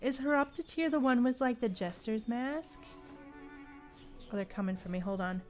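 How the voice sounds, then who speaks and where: A woman's voice: on the high side, expressive, normal speed, faint music behind. A woman chatting casually as she streams a game, with a playful, exclaiming way of talking to viewers. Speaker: livestream host. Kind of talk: casual gameplay livestream chat.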